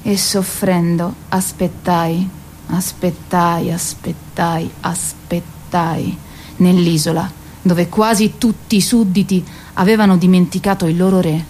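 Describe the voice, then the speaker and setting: A woman's voice: lowish voice, fairly even intonation, slow pace, darkish tone, a little hiss or hum, rough recording. An actress playing a proud, weary queen of Greek myth who waits, in a declamatory, ironic radio drama monologue. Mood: confessional. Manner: declamatory, ironic, lingering on repeated words.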